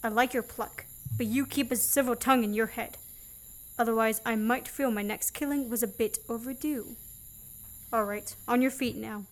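Young woman doing a guy on the run, higher voice, dry sarcastic and bossy, radio drama style. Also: steady tone, normal speed, a little hiss or hum, natural voice. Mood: mocking, menacing. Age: young adult. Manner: sardonic, commanding. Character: fugitive man, sardonic, bossy, wrongly accused. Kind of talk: student radio play acting.

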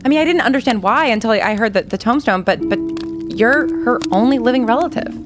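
Lively, higher-pitched woman role-playing. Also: fast, over music. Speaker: player in a tabletop game. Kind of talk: casual improvised role-play.

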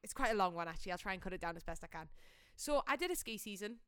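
A young woman chats as a podcast co-host, swearing freely as she tells a story. Her voice is smooth and clear, recorded dry, and her delivery is animated and blunt. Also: mid-range voice, racing, no room sound. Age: young adult. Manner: animated, blunt, salty-tongued. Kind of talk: casual storytelling chat.

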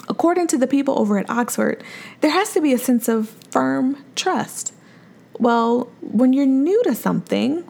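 Calm-voiced woman therapist hosting her podcast, bit high, lots of fry. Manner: soft, calm, friendly with light laughs. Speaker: podcast host, mom therapist and coach. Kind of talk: solo podcast monologue.

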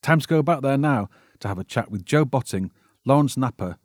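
A man's voice: medium pitch, expressive, fairly quick, darkish tone, no echo, studio quality. A British man hosting a podcast, reading scripted narration as a wry, theatrical storyteller. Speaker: podcast host.

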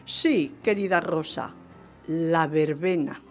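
A woman's voice: low voice, slow, faint music behind. An actress playing a genteel, scheming wife in radio theatre, theatrical and emphatic in telling her tale. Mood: brisk, haughty. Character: indignant, genteel married lady scheming for divorce. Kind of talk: radio theatre storytelling and dialogue.

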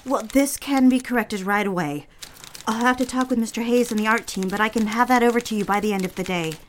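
A woman's voice: fairly high pitch, fast talker, slight background noise, lightly acted. A woman playing a polite, dutiful secretary in an audio drama, courteous and accommodating. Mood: apologetic, earnest. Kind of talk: scripted audio drama dialogue.

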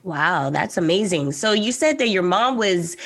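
A woman's voice: lowish voice, animated, a little slow, fairly bright tone. A woman co-hosting a podcast, opening the show and interviewing a guest in an upbeat, friendly way. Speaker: podcast co-host. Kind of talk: scripted intro then casual interview.

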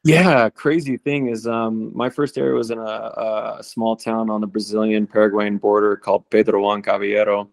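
A man in his late thirties, a returned missionary, telling stories in a relaxed, rambling interview style. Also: lowish voice, normal speed, gravelly, few pauses, no echo. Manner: relaxed, rambling with fillers and restarts. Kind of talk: conversational interview storytelling.

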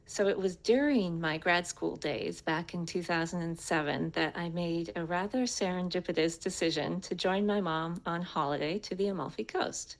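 An American woman speaks in a slightly low register with a creaky edge. She delivers a prepared personal introduction as conversational storytelling, as a co-host would. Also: moderate pace, hardly any pauses, poor audio. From United States. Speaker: co-host and guest speaker telling her story.

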